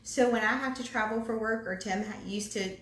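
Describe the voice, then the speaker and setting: おしゃべりな女性の会話、響く部屋. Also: female voice, fairly high pitch, fairly even intonation, a bit bright. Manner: chatty, quick, self-described chatterbox. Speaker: ministry co-host. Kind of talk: casual marriage talk. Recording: echoey room, faint background noise.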